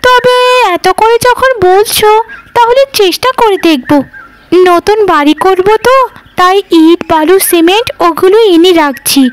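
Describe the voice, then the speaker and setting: A woman's voice: extremely high pitch, measured pace. A woman voicing a haughty, boastful well-off housewife in a cartoon, talking down to others in a condescending, gloating way. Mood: smug, boastful. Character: haughty, boastful well-off village housewife, mocking poor neighbours. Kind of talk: cartoon voice acting.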